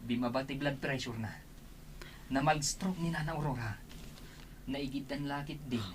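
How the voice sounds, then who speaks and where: A man's voice: medium pitch, normal speed, natural voice. A man playing a kind, composed doctor in an Ilocano radio drama, speaking reassuringly. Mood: gentle, reassuring. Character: kind, composed male village hospital doctor. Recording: background noise, ambient sound.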